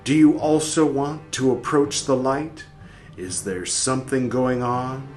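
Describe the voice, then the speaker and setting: A man reading aloud as a solemn disembodied soul, questioning the dead slowly and gravely. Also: mid-range voice, steady tone, a little mellow, soft background music, lightly acted. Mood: stern. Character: solemn disembodied soul, male, stern and probing.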